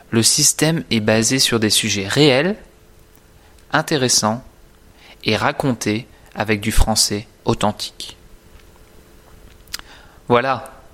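Guy teaching, really slow and careful, medium pitch, scripted lesson. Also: fairly bright tone. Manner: deliberate, carefully articulated, didactic. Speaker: French teacher presenting own course. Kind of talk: scripted instructional narration.

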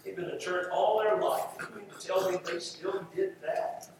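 A man's voice: sits high, steady tone, normal speed, vocal fry. A man preaching a church sermon in an emphatic, repetitive rhetorical cadence. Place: church sanctuary with audience. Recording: echoey room, distant mic, faint noise.

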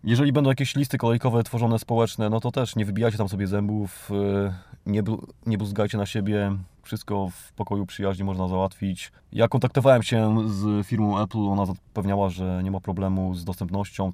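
A man's voice: fairly low pitch, flat delivery, a little fast, warm tone, no room sound. A Polish man hosting a podcast, delivering a tongue-in-cheek monologue in a mock-earnest, exhorting manner. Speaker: podcast host.

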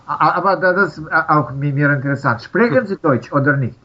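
A man's voice: fairly high pitch, fairly quick. A man with a foreign accent, not a native speaker, conducting a casual interview, searching for words and giving short acknowledgements. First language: not German. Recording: slightly dull, lo-fi sound.

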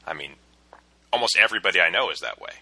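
A man's voice: deep voice, animated, fast talker, bright, forceful tone, dry recording. A man hosting a podcast interview, earnest and thoughtful, often restarting his phrases. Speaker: podcast host.

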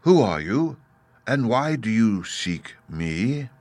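A mysterious voice from a ball of fire, as a man voices it in a storybook. It speaks slowly and quietly, measured and solemn. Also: fairly low pitch, very animated, dry recording, heavily acted. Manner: slow, measured.

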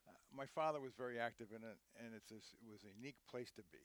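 An older man speaking at a middle pitch, talking through memories in a loose, rambling way. He often restarts sentences and leans on fillers as he goes. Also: rapid-fire, no echo. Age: 60s. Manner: rambling, warm storytelling with many restarts. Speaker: guest, head equipment manager. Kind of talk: conversational interview.

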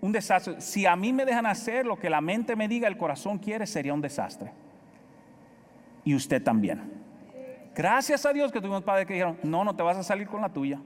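A Dominican pastor preaching with a high, ringing voice. He drives his points home by repeating words and swings from forceful to hushed. The sound carries a long hall reverb, as in a church sanctuary. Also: very animated, normal speed. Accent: Dominican Spanish. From Dominican Republic. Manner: preaching with emphatic repetition, swinging between loud and soft. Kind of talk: sermon.

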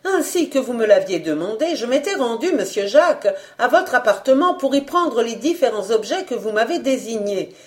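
Woman acting excitable, chattering good-hearted cook. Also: a little high, moderate pace, few pauses, pitched above the performer's own voice. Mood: voluble, agitated. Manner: voluble, breathless, exclamatory.

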